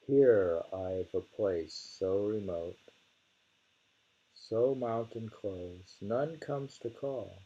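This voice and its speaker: Male poetry reader, deep and husky, dark tone, unhurried delivery with informal asides. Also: muffled recording. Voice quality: gravelly, husky. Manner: deliberate, lingers over and repeats phrases. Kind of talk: slow poetry reading with informal commentary.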